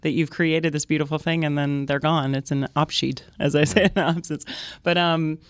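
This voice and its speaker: A woman's voice: low voice, level tone, moderate pace, dry recording. Laughing, chatty woman singer. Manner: enthusiastic, laughs often, rambling asides. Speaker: opera and concert singer, guest. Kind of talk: casual interview chat.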